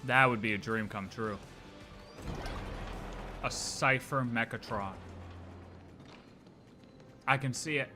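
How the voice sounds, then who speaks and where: A man's voice: medium pitch, takes it slowly, pauses often, music in the background. A man streaming a live game reaction, joking and rambling as he plays. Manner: animated, jokey, rambling.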